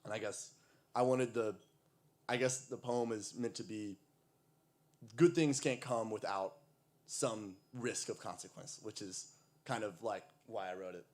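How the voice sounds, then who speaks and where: A man with an American accent and a fairly low voice speaks at an open mic. He is an amateur poet, moving between recited verse and casual explanation. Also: measured pace, pauses often. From United States (Texas), later East Africa. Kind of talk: poetry recital with casual introductions. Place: live open mic event at a coffee venue.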